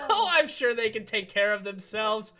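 A woman playing an excitable, jumpy animatronic fox in a chatty, theatrical Minecraft roleplay. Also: on the high side, a little slow, soft background music, full character voice. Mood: relieved, upbeat. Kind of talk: improvised Minecraft roleplay for a family audience.

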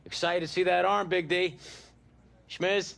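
A man's voice: high voice, fairly even intonation, a little slow, vocal fry, fairly bright tone, exaggerated voice. A man playing a polite but pointed middle-aged team executive in a video game drama. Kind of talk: scripted game drama acting.

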